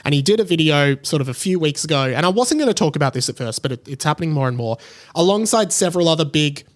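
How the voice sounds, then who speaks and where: Higher-voiced man, casual podcast host. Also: lively intonation, fast. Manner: conversational, thinking aloud, emphatic repetition. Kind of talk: casual solo podcast commentary.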